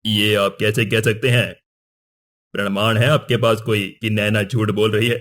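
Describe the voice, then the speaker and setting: Low, even-voiced stern king played by a man. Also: a little slow. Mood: questioning. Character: stern, dignified king and father, middle-aged. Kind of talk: Hindi cartoon moral-story dialogue.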